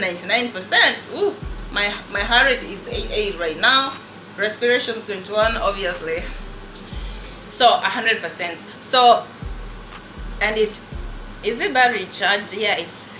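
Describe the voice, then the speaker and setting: A woman's voice: mid-range voice, sharp tone, reverberant, poor audio. A young woman nurse from Kenya with a Kenyan accent, chatting casually and rambling through her work gear with many fillers. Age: young adult. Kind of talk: casual show-and-tell vlog.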